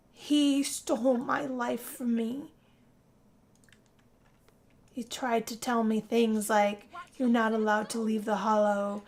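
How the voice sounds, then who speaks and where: A woman voicing a proud, bitter young female vampire, narrating her own story in a dramatic, deliberate way. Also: a little high. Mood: livid. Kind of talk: scripted first-person story narration.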